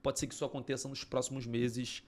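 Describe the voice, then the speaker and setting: A man's voice: medium pitch, level tone, quick pace, a little mellow. A man from Brazil co-hosting a news podcast, explaining current events in a conversational way. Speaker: podcast co-host. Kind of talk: casual news-discussion chat.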